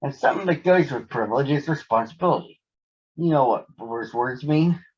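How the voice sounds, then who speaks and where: Man as a husky, caring mother bear. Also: mid-range voice, fairly quick, a little mellow, close to an everyday voice. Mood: instructive. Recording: dull lo-fi sound.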